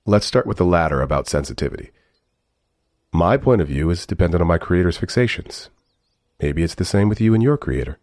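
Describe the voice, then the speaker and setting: An actor playing a polite, introspective AI robot companion with a measured, formal way of talking, in a scripted sci-fi audio drama. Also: male voice, deep voice, expressive, a little mellow, no echo, clean studio sound. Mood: politely affronted. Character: emotional, polite male AI robot companion, introspective and easily slighted.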